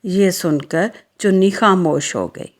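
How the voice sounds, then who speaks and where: A woman reads a children's story as narrator. Her voice sits a little low, and she takes her time at a very slow, measured pace.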